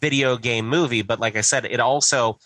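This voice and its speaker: A man's voice: medium pitch, moderate pace, fairly bright tone, no echo. A man hosting a casual podcast chat, making points emphatically and swearing freely. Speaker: podcast host.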